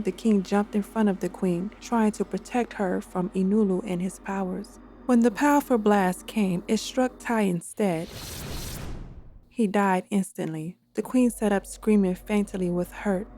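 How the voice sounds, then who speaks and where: A woman narrating her own dark fantasy audio drama in a steady storytelling style. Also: mid-range voice. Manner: steady storytelling, voices every character. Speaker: narrator and author.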